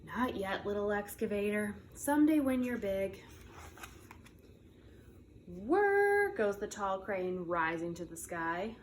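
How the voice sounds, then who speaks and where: Very animated woman reading to young children. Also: medium pitch, slight background noise. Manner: animated, playful, drawn-out sound words and repetitions. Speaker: preschool teacher reading aloud. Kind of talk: expressive children's picture book read-aloud.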